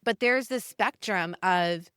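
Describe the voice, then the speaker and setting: A woman's voice: medium pitch, slow pace, fairly bright tone, smooth, no room sound, studio quality. A woman from India who grew up abroad, hosting a podcast and building her points thoughtfully and expressively. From India, raised abroad. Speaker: podcast host. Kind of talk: casual podcast conversation.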